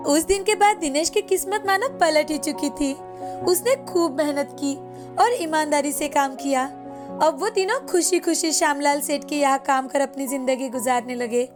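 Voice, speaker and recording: A woman reading a scripted moral story as its narrator, in storybook style. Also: high-pitched.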